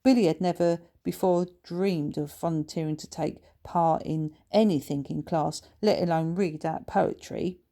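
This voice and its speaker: A woman reading a children's book as its author, in expressive, scripted storytelling narration. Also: sits low, a little slow, few pauses.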